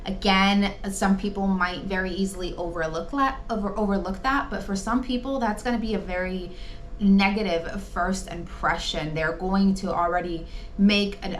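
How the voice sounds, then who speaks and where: A woman's voice: on the low side, a little hiss or hum. A woman presenting an informal training talk, advising in an emphatic, conversational way. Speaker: presenter giving training tips to insurance agents.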